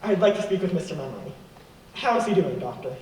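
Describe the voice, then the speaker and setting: A man acting in a radio play as a brisk, insistent man who has been wrongly accused. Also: sits high, animated, darkish tone. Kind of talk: stage radio drama acting. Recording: echoey hall from far off, faint noise.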